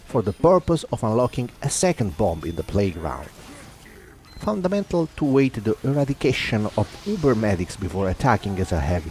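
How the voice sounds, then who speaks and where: A man's voice: mid-range voice, darkish tone. A man giving a formal, wordy voice-over commentary over his own gameplay video. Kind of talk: scripted voice-over narration.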